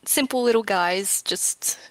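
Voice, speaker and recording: A woman's voice: mid-range voice, a little slow, a bit bright, no echo, low-quality recording. A woman giving casual, informal interview answers as a guest game developer, in a self-effacing way.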